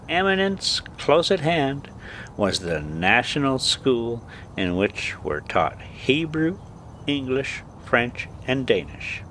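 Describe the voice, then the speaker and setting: Guy reading a storybook slow, pitch moves around a lot, kinda halting, bit of background rumble. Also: fairly bright tone. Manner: halting, stumbling over words. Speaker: host reading stories aloud for a pet video channel. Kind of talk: amateur storybook reading with casual asides.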